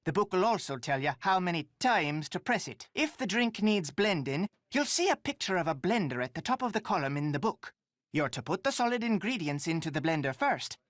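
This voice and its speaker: An actor playing a brisk, folksy Irish barkeep in a video game, giving polite instructions. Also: male voice, high-pitched, fairly bright tone, dry recording, studio quality. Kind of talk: video game character dialogue.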